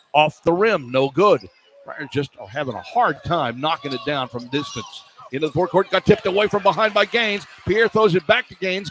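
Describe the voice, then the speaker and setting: Male sports announcer, higher voice, live radio basketball commentary, clipped short phrases, faint arena noise behind. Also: fairly bright tone.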